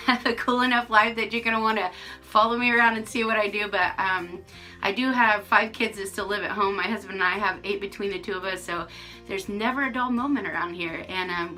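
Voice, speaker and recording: A woman's voice: medium pitch, pushed and bright, poor audio. A woman in her forties giving a casual, frank vlog update to camera from her rural home, with lots of ums and restarts. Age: 40s. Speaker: vlogger.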